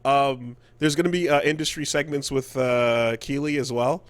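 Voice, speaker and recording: A man co-hosting a casual podcast about video games, speaking haltingly and repeating words mid-thought. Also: medium pitch, fairly even intonation, smooth. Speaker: podcast co-host.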